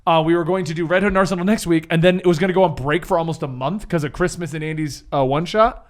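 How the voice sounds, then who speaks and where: Casual male game master, high voice, animated and informal, for tabletop show hosting. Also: fast. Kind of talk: casual banter and recap for a tabletop gaming show.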